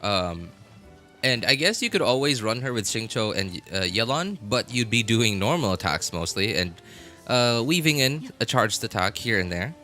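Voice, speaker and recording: Male streamer, lowish pitch, crisp bright tone, casual gaming chat, halting rephrasing, music in the background. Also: big pitch swings. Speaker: live streamer. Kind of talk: casual game stream chat, answering viewers.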